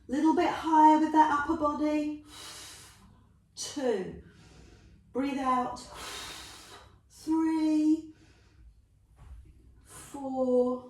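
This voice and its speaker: A woman's voice: high voice, a little mellow. Encouraging woman counting reps, London accent. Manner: encouraging, counts reps aloud with jokey asides. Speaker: Pilates instructor. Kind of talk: guided workout instruction. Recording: echoey room, voice from a distance.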